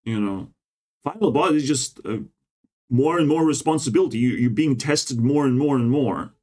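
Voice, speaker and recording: A man's voice: moderate pace, darkish tone. Rambling man who keeps saying "bro". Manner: meandering, swears often, ends phrases with "bro"; pitch jumps up and drops low often. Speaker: vlogger. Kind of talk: rambling casual monologue.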